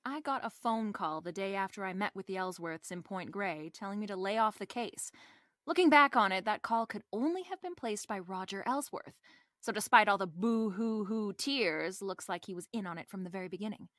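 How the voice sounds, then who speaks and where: A wry female private eye from a radio detective drama, played by an actress. She is confident and slangy, wisecracking in a mid-pitched voice. The sound is close and dry. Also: lively intonation, moderate pace, a bit bright, no room sound, natural voice. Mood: breezy, confident.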